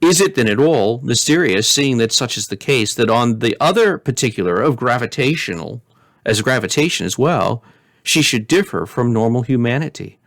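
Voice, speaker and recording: Storybook philosopher acted by a man: sententious, stubborn materialist, pompous certainty, middling pitch, very little room sound. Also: animated, normal speed, a bit bright, dry recording, studio quality. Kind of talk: storybook character reading.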